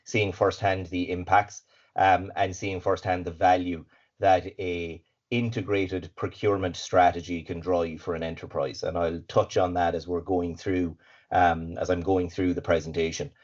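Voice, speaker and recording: An Irish man with a raspy voice, slightly congested from hay fever, giving an upbeat webinar talk as guest speaker. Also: sits low, level tone, moderate pace, darkish tone. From Ireland. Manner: upbeat, fluent, with filler words and repeats. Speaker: guest speaker, supply chain consultant. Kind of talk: webinar presentation. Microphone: video call. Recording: dull lo-fi video call audio.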